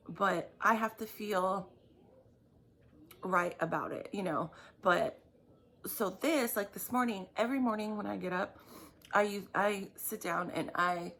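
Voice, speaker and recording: A woman giving a tarot reading in a chatty, rambling way, apologizing often, her voice raspy from just waking up. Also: medium pitch, a bit bright, slight background noise. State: raspy morning voice. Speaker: tarot reader. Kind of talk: casual vlog talk.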